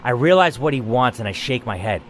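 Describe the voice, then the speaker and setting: A man's voice: medium pitch, lively intonation, a little fast, soft background music. A man reading a first-person game story aloud as the player, in a dramatic narrating style.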